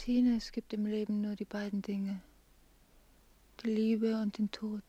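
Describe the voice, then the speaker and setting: A woman's voice: medium pitch, little pitch movement, a little slow, warm tone, natural voice. An actress as a brooding, intense bookish mother. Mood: hushed, brooding. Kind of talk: literary radio play acting.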